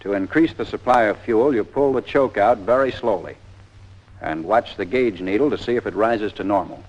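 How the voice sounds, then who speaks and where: A low-pitched American man reads scripted instructions as the narrator of a training film. He is plain-spoken and methodical, setting out each step in order. The sound is a dull, lo-fi soundtrack from the 1940s. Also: normal speed, a little mellow.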